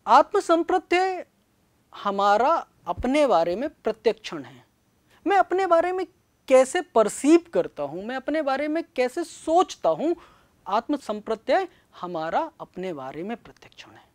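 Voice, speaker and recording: A middle-aged man giving a scripted classroom lecture in a studio on a lapel mic, measured and explanatory. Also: extremely high pitch, very animated. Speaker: teacher. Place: studio with backdrop.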